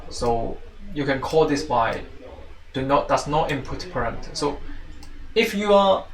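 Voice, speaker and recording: A man with a Chinese accent giving an informal tutorial as a teaching assistant, halting and often repeating and rephrasing himself. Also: medium pitch, animated. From China. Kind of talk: informal spoken tutorial walkthrough. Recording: roomy, distant mic, faint noise and clicks.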